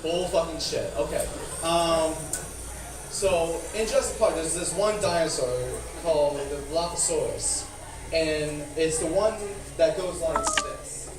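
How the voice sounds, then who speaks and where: A man doing rambling, profane, self-deprecating stand-up, with a speech impediment that softens his R sounds. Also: fairly high pitch, steady tone, a little slow. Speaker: stand-up comedian. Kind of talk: stand-up comedy at an open mic. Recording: echoey, noisy room, far from mic.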